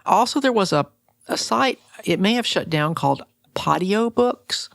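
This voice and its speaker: A woman's voice: mid-range voice, lively intonation, moderate pace, gravelly, dry recording, clean studio sound. An American woman, middle-aged or older, hosting a conversational podcast interview.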